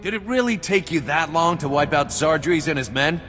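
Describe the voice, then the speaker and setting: An actor playing a bitter, contemptuous renegade soldier captain in a video game, sneering in plain colloquial speech. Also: male voice, fairly high pitch, expressive, normal speed, fairly bright tone. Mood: mocking. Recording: long hall reverb, faint music.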